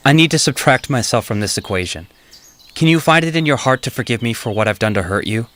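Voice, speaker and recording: Man playing remorseful old man, audio drama. Tired, with very animated pitch. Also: fast talker, close to an everyday voice. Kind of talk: theatrical audio drama acting.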